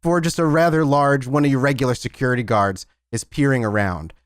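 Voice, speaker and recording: A man's voice: mid-range voice, a little fast, clear, resonant tone, no echo, clean studio sound. A man acting as game master, improvising narration and putting questions to players in a tabletop roleplaying game.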